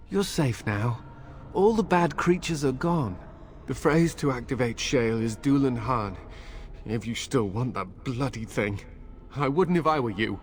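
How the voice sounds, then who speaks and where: A man's voice: medium pitch, slight background noise, close to an everyday voice. An actor playing a protective, tender and anxious village father in a fantasy video game. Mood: soothing. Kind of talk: video game character acting.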